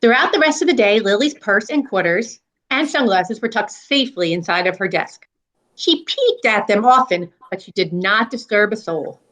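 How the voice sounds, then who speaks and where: A woman's voice: medium pitch, expressive, normal speed, a bit bright, low-quality recording. A woman educator reading a storybook aloud and chatting about it in an earnest, explanatory way. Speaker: school educator. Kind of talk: read-aloud of a children's book and casual discussion.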